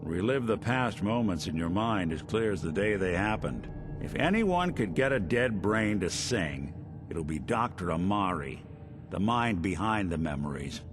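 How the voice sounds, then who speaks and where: A low-voiced, world-weary detective speaks in wry, hardboiled noir patter. He is a loyal, encouraging robot gumshoe from a video game, with music playing behind him. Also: very animated, a little slow. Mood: thoughtful. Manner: noir private-eye patter.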